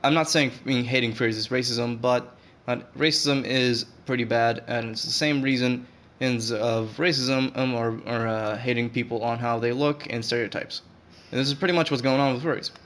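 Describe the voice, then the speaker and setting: A man's voice: medium pitch, fairly even intonation, moderate pace, a bit bright. A man from Saudi Arabia with an English accent, talking in a rambling, hesitant voice-over with many fillers and restarts. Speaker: gaming video creator. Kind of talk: unscripted voice-over commentary. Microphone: voice-over over gameplay footage. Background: guitar music.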